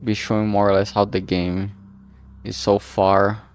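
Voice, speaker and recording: A man giving a casual, unscripted livestream update in a halting, rambling way, often trailing off mid-phrase. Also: fairly low pitch, level tone, clear, resonant tone. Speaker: streamer, visual novel maker.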